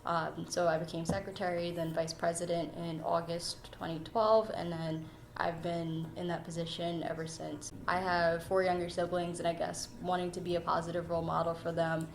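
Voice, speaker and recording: A woman's voice: lowish voice, flat delivery, normal speed, gravelly. A nineteen-year-old woman from New York City giving a personal interview as an officer of a youth organization. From New York City, United States. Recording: echoey room with faint noise.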